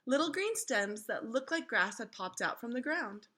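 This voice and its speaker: Woman, read-aloud teacher, bright forward voice, slightly high, expressive storybook narration, instructive. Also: normal speed, breathy edge. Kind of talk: children's storybook read-aloud with questions to listeners.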